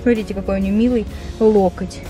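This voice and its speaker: A young woman in her twenties, chatty and lively, talking to viewers and cooing at her cat in a car. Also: mid-range voice, brisk, soft background music. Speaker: pet vlogger. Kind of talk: casual vlog talk to viewers. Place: inside a car at night.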